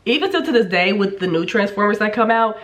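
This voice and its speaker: A woman's voice: medium pitch, lively intonation, quick pace, a bit bright. A woman in her twenties from Kansas City, Kansas, chatting candidly as a vlogger, a little nasal from a cold, with casual swearing and lots of fillers. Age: 20s. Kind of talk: casual get-to-know-me vlog.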